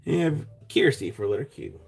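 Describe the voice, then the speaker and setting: A man with a raspy voice, chatting casually as he sells rocks on a live stream and thanks buyers by name. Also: mid-range voice, very animated, a little fast, soft background music. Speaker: live stream rock seller. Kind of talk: casual live sale chat.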